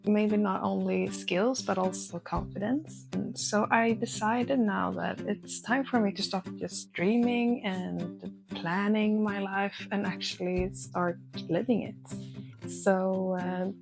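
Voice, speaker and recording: A woman's voice: mid-range voice, fairly even intonation, slow, vocal fry. A young woman with a Swedish accent, vlogging about her art, hesitant, with many fillers. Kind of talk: casual vlog talk to camera. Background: soft guitar music.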